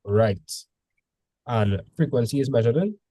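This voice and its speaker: A man's voice: medium pitch, animated, a little slow, dark tone, dry recording. A male teacher tutoring students in a casual session, full of "like" fillers, quizzing them with questions as he goes.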